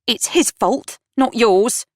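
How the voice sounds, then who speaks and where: A woman's voice: fairly high pitch, takes it slowly, no echo, studio quality, voice pushed up. A woman voicing a blunt, gossipy, celebrity-obsessed young woman in an audiobook, incredulous and emphatic. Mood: indignant.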